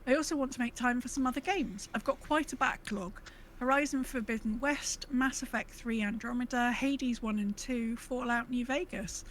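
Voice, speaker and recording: A woman's voice: on the high side, moderate pace. A woman co-hosting a podcast, talking in a casual, chatty style. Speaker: podcast co-host. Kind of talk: casual gaming podcast chat. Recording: clearly noisy background ambience.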